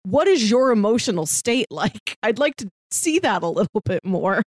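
A woman's voice: medium pitch, very animated, moderate pace, dry recording, clean studio sound. A woman co-hosting a podcast, chatting casually and conversationally as she gives her review. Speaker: podcast co-host. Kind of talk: casual chat reviewing a TV series.

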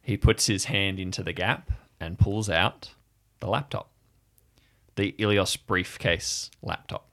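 Low, gravelly male storyteller. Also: fairly bright tone, no echo. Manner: measured, dramatic storytelling. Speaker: game master and narrator. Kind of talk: improvised audio drama narration.

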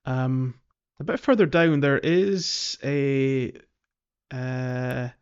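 A man's voice: medium pitch, extremely slow, clean tone. Man podcasting casually, slightly dull mic. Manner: jokey, teasing banter with co-hosts. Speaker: podcast host. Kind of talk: casual gaming podcast chat.

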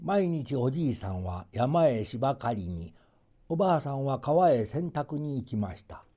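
Male storybook narrator: soft, mellow tone, expressive measured folktale delivery with playful emphasis. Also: mid-range voice.

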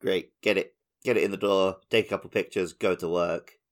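A man's voice: deep voice, fairly even intonation, a little fast. A man co-hosting a podcast, chatting informally and telling everyday anecdotes. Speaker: podcast host. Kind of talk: casual chat, anecdotes.

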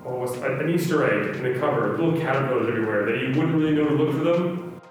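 A man's voice: medium pitch, quick pace, recorded from a distance. Guy being interviewed, casual n thoughtful, big echoey hall, bit of music. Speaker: interview guest, writer. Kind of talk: casual, reflective interview talk.

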